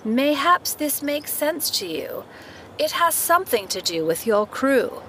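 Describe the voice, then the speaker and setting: An actress playing a theatrical fortune teller who speaks in lofty, sweet, singsong rhyme in a fantasy audio drama. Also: female voice, sits high, big pitch swings, a little slow, a bit bright, slight background noise, exaggerated voice. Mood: urgent prophetic.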